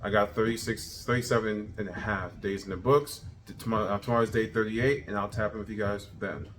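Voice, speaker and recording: A thirty-year-old man talking casually in a self-improvement video journal, energetic and repeating himself as he pushes his point. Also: fairly low pitch, fairly quick. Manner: energetic, repetitive, self-motivating. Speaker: vlogger.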